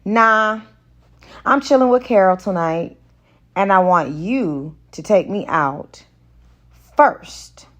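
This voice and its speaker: A woman's voice: medium pitch, expressive, slow pace, pauses often, fairly bright tone, dull audio. A woman narrator voicing a sassy, assertive, flirtatious woman in an audiobook romance.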